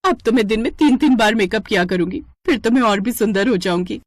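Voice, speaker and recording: A woman's voice: on the high side, fairly quick, dry recording, studio quality, close to an everyday voice. A woman voicing a vain, jealous and greedy young daughter-in-law in an exclaiming, self-satisfied way, in a storybook tale. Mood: boastful.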